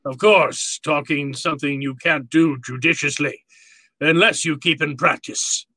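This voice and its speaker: An actor playing a jovial, courtly, calculating fat crime boss in a radio drama, speaking floridly and with relish. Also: male voice, on the high side, steady tone, a little slow, pushed and bright, husky, no room sound, full character voice. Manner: florid, pompous, relishing his words. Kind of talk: old-time radio drama acting.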